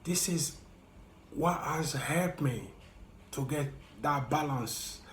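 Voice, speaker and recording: Slow, earnest man with French accent. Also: a little high, a bit bright, reverberant, slight background noise. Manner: slow, earnest, halting and repetitive. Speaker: YouTuber. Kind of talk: heartfelt direct-to-camera talk.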